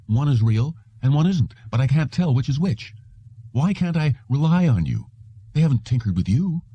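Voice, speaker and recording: Audiobook role: troubled, defiant husband read by a man, husky, very soft-edged tone, dry sound. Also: medium pitch, sing-song, moderate pace, dry recording, pitched above the performer's own voice. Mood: bewildered.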